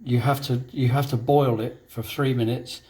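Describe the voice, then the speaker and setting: A man's voice: medium pitch, steady tone, normal speed, mellow. A man demonstrating a dessert in a kitchen, talking through each step with jokes. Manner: chatty, jokey, narrates each step. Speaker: guest cook. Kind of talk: cooking demonstration chat.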